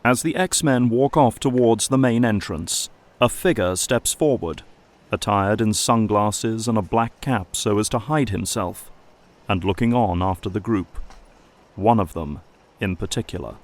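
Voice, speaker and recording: A man's voice: fairly low pitch, unhurried. A man reading scripted narration for an audio drama.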